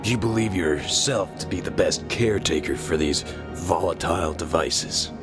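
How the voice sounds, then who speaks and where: A man playing a skeptical senior officer in a science fiction audio drama, questioning deliberately. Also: sits low, music in the background, close to an everyday voice.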